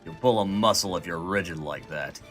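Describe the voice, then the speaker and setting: A man's voice: deep voice, moderate pace, a bit bright, faint music behind. A man playing a weary, authoritative snowman army major in a comic audio drama. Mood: stern. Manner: authoritative, no-nonsense.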